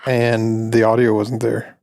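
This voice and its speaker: Gravelly young man, rambling chat. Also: on the low side, takes it slowly, dry recording, studio quality. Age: 20s. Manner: rambling, relaxed storytelling. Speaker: podcast co-host. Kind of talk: casual chat.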